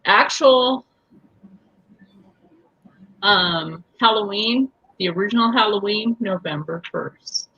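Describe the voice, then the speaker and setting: A woman's voice: mid-range voice, slow, pauses often, pushed and bright, breathy edge, dull audio. A woman hosting a live online chat show, talking casually and laughing often.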